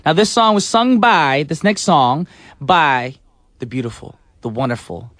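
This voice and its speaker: Brief: upbeat male radio DJ, slightly high voice, dry close studio mic. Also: animated, a little slow, fairly bright tone, no room sound. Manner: playful, breaks into sung snippets and silly voices. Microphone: studio microphone.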